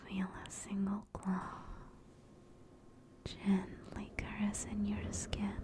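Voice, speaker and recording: A woman narrating a scripted ASMR story in a hushed, intimate, breathy whisper. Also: medium pitch, completely flat delivery, slow.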